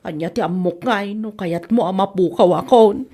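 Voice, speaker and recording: A woman's voice: mid-range voice, normal speed, dark tone, lightly acted. Woman playing sulky Ilocano little sister. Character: sulky, greedy, dramatic younger sister. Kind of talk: Ilocano comedy drama acting.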